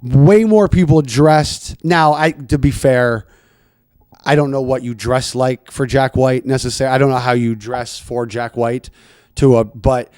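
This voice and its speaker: A man's voice: medium pitch, steady tone, measured pace, a little mellow, smooth, dry recording, clean studio sound. A man hosting a casual comedy chat, riffing and swearing, rambling with restarts. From Canada. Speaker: podcast host.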